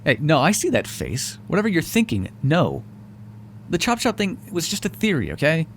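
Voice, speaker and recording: A man's voice: fairly high pitch, wildly swooping pitch, moderate pace, slight background noise. A man voicing a sarcastic, foul-mouthed vampire who is grudgingly helpful and hungry, speaking intimately to the listener in an audio roleplay. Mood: exasperated. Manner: sarcastic, wry, exasperated, conversational. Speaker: roleplay voice actor.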